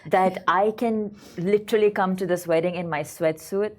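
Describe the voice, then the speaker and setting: A woman's voice: medium pitch, steady tone, normal speed. A woman co-hosting a business show, talking with passion and emphasis. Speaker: co-host. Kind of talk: casual studio chat.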